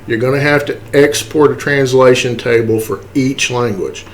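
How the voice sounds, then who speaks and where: A man giving a casual, step-by-step software walkthrough, informally checking in with okay and right as he goes. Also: medium pitch, fairly even intonation, moderate pace. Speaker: tutorial presenter. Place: indoors, voice-over for a screen recording.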